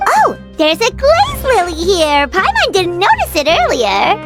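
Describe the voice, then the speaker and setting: A woman voicing a small, excitable, chatty fairy companion in an anime game, with a squeaky childlike voice. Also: measured pace, full character voice. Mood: soothing, hesitant. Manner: speaks of self in third person. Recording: music behind the voice.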